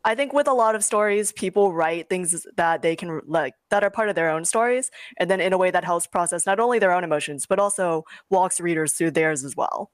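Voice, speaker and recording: Woman, American accent, slightly low pitch, informal hedging talk, casual panel guest, close dry recording. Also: a little fast, few pauses, dry recording. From United States. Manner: informal, hedging, frequent "like" and "you know". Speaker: webcomic creator, guest.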